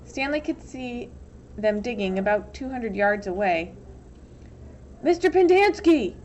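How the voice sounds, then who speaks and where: A woman's voice: mid-range voice, measured pace, muffled recording, a little hiss or hum. A woman reads a children's novel aloud as scripted storybook narration. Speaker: teacher reading aloud.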